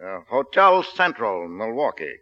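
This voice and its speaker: An actor playing a menacing, cocky gangster boss with an American accent and tough, slangy speech in a 1940s radio drama. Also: male voice, fairly low pitch, big pitch swings, unhurried. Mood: feigned innocence. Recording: dull old 1940s radio broadcast recording.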